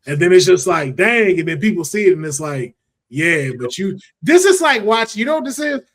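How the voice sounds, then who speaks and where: Male podcast host, slightly high husky voice, animated and emphatic, casual talk about pop culture. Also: moderate pace.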